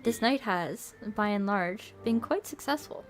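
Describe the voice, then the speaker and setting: A woman's voice: mid-range voice, animated, unhurried, soft background music. A young woman streaming, chatty and playful, moving between casual chat and reading a visual novel aloud. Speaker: streamer and host.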